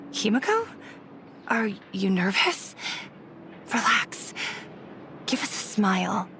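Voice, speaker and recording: Eager, creaky-voiced young student. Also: female voice, medium pitch, big pitch swings, slow, sharp tone, a little hiss or hum, exaggerated voice. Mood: friendly teasing. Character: bright, friendly young female student, eager and teasing. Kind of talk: video game voice acting. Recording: music under the voice.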